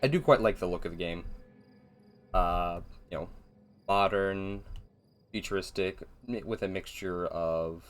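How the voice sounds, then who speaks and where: A man giving casual, rambling gameplay commentary in a flat, monotone voice, with a self-deprecating manner. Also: low-pitched, measured pace, pauses often, a little hiss or hum. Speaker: gaming video host.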